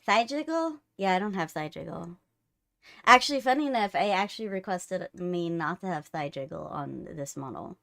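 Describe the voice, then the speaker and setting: A woman's voice: on the low side, animated, normal speed, gravelly, fairly bright tone, dry recording. A woman hosting a livestream in casual chat, teasing her co-host playfully, close on the mic.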